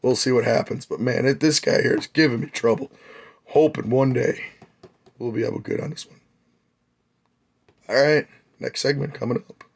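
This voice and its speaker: A man, hoarse and losing his voice, giving a rambling, enthusiastic hobby update on his model car builds. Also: mid-range voice, moderate pace, long pauses. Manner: rambling, enthusiastic, many fillers.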